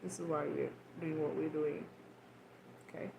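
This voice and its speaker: A woman's voice: low voice, steady tone, moderate pace, vocal fry, background noise. A woman who grew up in Kenya, leading a livestream talk and guided healing in a loose, repetitive way, often checking in with "right?". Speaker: spiritual healer on a livestream.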